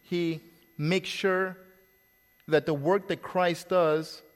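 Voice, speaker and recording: A man from El Salvador with a slight Salvadoran Spanish accent, preaching a sermon in a relaxed storytelling way, with pauses and repeated words. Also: sits high, clear, resonant tone, in a large hall.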